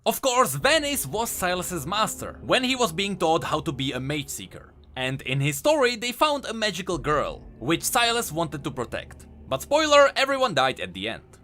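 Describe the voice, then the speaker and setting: Man, video game lore host, high voice, hugely animated intonation, emphatic, explanatory, scripted commentary. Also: normal speed, hardly any pauses. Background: music.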